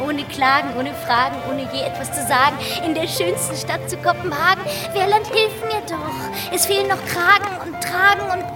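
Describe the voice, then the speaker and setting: High-voiced, exuberant young baroness, played by an actress. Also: female voice, distant mic, close to an everyday voice. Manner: exuberant, pleading, poetic, theatrical. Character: lively, playful, romantic young baroness, teasing and devoted. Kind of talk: German radio play acting.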